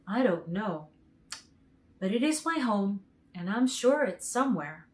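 A woman voicing an earnest, determined young girl traveller in a gentle storybook read-aloud. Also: medium pitch, big pitch swings, unhurried.

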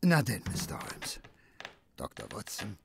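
An actor playing an anxious, simple working man in a radio drama, raspy, colloquial and deferential. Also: on the low side, wildly swooping pitch, unhurried, slight background noise, full character voice. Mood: relieved, unsure. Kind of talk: radio drama acting in German.

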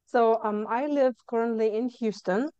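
A young woman with a Lithuanian accent talks as a podcast guest, earnest and explaining with frequent fillers. Her voice is warm and smooth, recorded dry on a webcam over a video call. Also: a little high, normal speed, dry recording, highs cut off. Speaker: guest, stress management coach. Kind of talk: casual interview chat.

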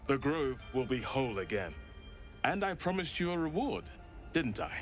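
An actor playing a devout, earnest druid man in a video game, speaking formally and deliberately. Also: medium pitch, natural voice. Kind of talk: dramatic game dialogue.

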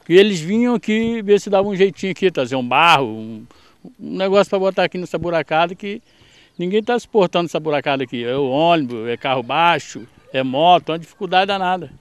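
A man's voice: fairly high pitch, lively intonation, fast talker, clean tone, no room sound. A man in his forties, a resident interviewed outdoors on a dirt road into a handheld mic, complaining in an exasperated way. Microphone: handheld reporter mic.

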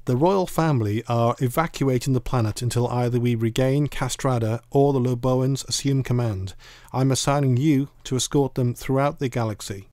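An actor playing a stern, sceptical military commander in a science-fiction audio drama, speaking formally and with authority. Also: male voice, mid-range voice, moderate pace, few pauses, a little mellow, dry recording, clean studio sound, close to an everyday voice. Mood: briskly commanding.

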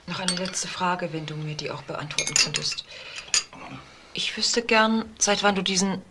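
A subdued, guilty wife in a crime radio play, acted by a woman. She pleads softly in a lowish, hushed voice, with noise and clinking tableware around her. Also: unhurried, natural voice. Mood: subdued pleading. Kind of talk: crime radio drama acting.

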